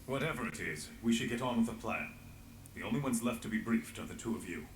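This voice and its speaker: An actor playing a grave, world-weary resistance leader in a fantasy game, speaking in measured lines. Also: male voice, on the low side, animated, echoey room, slight background noise, close to an everyday voice. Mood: resolute. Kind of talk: dramatic fantasy game voice acting.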